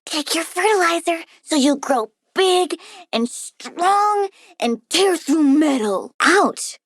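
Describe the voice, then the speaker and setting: A woman's voice: very high-pitched, expressive, slow pace, no room sound, clean studio sound, heavily acted. A woman playing a childlike, bratty young plant-girl villain in a video game, perky, blunt and gleefully bloodthirsty. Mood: bratty, taunting. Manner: petulant, perky, blunt, sassy. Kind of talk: video game character voice lines.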